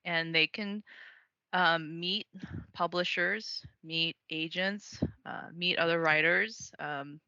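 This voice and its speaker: A woman from Taiwan talks through an interview in a chatty, eager way, fillers scattered through her sentences. Her voice sits a bit low but has a bright, forward edge. The sound is dry and slightly muffled, lacking top end. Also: steady tone, takes it slowly, clean tone, no echo. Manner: chatty, enthusiastic, frequent fillers and laughs. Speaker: writing program organizer and author. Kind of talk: casual interview conversation.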